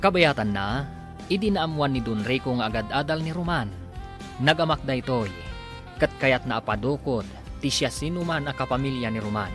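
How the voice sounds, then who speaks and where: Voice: mid-range voice, animated, normal speed. A narrator with a Filipino accent, presenting a radio drama serial over music. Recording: music under the voice.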